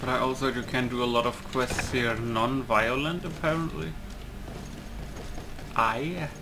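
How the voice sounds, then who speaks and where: A man's voice: medium pitch, fairly even intonation, measured pace. A man giving casual let's play commentary as a gaming YouTuber. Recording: faint game noise behind.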